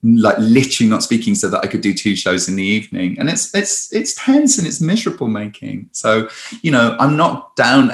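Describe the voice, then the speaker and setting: A man, a stage performer, chatting in a conversational interview with lots of restarts and lively storytelling. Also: lowish voice, reverberant.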